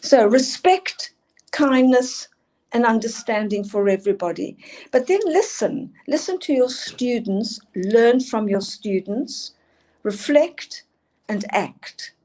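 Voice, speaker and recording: Older South African woman, emphatic presenter, warm low-brightness tone. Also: expressive, slow pace. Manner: earnest, emphatic, asks for next slides. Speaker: school leadership speaker. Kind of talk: presenting slides in a talk.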